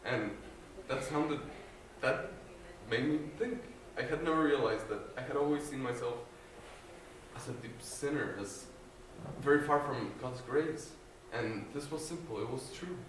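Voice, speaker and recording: A man's voice: mid-range voice, measured pace, a little hiss or hum. A young man from Guatemala sharing a personal faith testimony as a student, earnest and hesitant, with many fillers and restarts. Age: young adult. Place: large room with an audience. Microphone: no mic, distant recorder. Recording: echoey room from far off.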